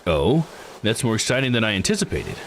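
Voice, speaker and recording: A man's voice: lowish voice, sing-song, a little fast, slight background noise. A man reading the part of an easygoing, wry male sightseer in a visual novel. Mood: casual, wry. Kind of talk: visual novel character read-aloud.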